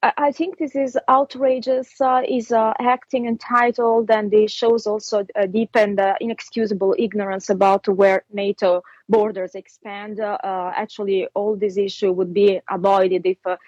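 A woman's voice: medium pitch, little pitch movement, normal speed, hardly any pauses, darkish tone, dry recording, lo-fi sound. A woman from Italy with an Italian accent, speaking as a political guest, hesitant with many fillers but emphatic. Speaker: guest commentator. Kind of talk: remote interview on politics.

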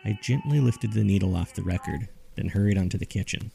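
Low, gravelly man telling a spooky story. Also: a little fast, warm tone. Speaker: storyteller reading a journal. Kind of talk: first-person scripted spooky narration. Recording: music under the voice. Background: background music.

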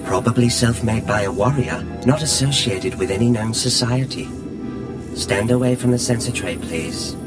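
An actor voicing a polite, impersonal analysis droid in a film, speaking in a formal, matter-of-fact way. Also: male voice, mid-range voice, moderate pace, lightly acted. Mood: detached, procedural. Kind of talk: film dialogue. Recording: film clip with loud music behind. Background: loud film score.

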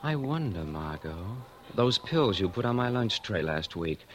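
An actor playing an ailing, suspicious husband who speaks in a measured, sardonic, accusing way in a 1940s radio drama. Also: male voice, on the low side, big pitch swings, a bit bright. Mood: bitter, accusing. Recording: 1940s radio recording, faint noise.

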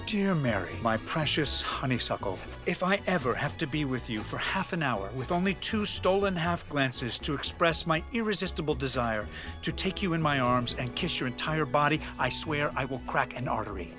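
Man acting lovestruck male statesman, fairly high voice, florid, ardent, comedic audio drama, music behind. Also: lively intonation, moderate pace, lightly acted. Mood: ardent, yearning.

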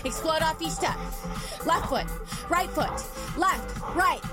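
High, lively woman fitness instructor. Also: slow pace, over loud music. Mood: peppy, urging. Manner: peppy, commanding coaching with motivational slogans. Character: relentlessly upbeat, sinister woman fitness instructor leading killer workout. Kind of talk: workout class instruction in audio drama.

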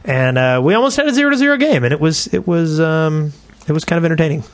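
A man's voice: on the high side, animated, fast talker, no echo. A man co-hosting a gaming podcast, talking in a casual, conversational way. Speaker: podcast co-host.

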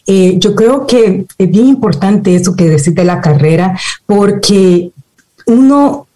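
Mellow Salvadoran woman answering in conversation. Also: mid-range voice, lively intonation, slow pace, low-quality recording. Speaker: interview guest, education nonprofit manager.

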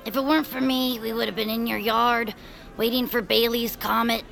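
A woman playing an earnest, dreamy, loyal young adventurer with a creaky voice in a family audio drama. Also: fairly high pitch, level tone, moderate pace, fairly bright tone. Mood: apologetic. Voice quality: creaky, gravelly. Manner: earnest, wistful, theatrical. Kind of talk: audio fiction character acting.

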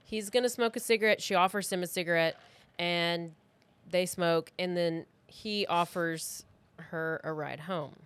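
A woman's voice: fairly low pitch, fairly even intonation, a little slow, smooth, dry recording. A woman hosting a true crime podcast, telling the story in a casual, conversational way with informal, blunt asides, close to the microphone.

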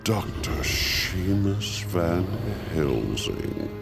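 A man playing a monstrous, gloating villain leader in an audio horror comedy, with a gravelly growl and a pompous, theatrical delivery. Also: deep voice, very animated, extremely slow, full character voice. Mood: imperious, gloating. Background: loud music.

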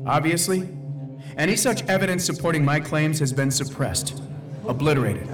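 Male actor as self-important conspiracy crank for audio drama. Highish voice, emphatic, indignant, forever preaching. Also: normal speed, over music, natural voice. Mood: preachy, indignant. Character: ranting, paranoid male conspiracy theorist, excitable and self-important. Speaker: conspiracy theorist and public speaker. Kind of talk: radio drama acting.

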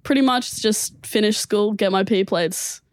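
A young person in their late teens with an Australian accent, a guest giving unhurried answers in a casual chat. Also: female voice, medium pitch, no room sound.

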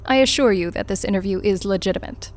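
An actress playing a cool, unflappable corporate interrogator in an audio drama, formal, clipped and detached. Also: female voice, mid-range voice, fast talker, loud music behind the voice, close to an everyday voice. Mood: brisk, insistent. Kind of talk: naturalistic audio drama acting.

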